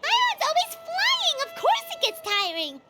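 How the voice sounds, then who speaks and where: A woman's voice: very high-pitched, wildly swooping pitch, a little slow, heavy background noise, full character voice. A woman voicing a small, childlike, chirpy fairy companion in a video game, chatting away with music behind. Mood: chirpy, earnest. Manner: speaks of self in third person, childlike. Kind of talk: video game character dialogue.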